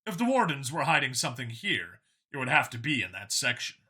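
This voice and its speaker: A male audiobook narrator with a very bright, forceful voice. His pitch moves in a lively way as he reads scripted science fiction narration. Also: brisk, slightly rough.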